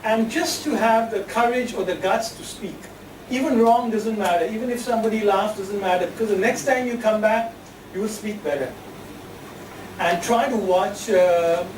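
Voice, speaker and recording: A woman from India, speaking informally as a club's general evaluator, chatty, self-deprecating and quick to laugh. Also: medium pitch. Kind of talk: informal meeting remarks. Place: club meeting room. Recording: echoey room, distant mic, air conditioning hum.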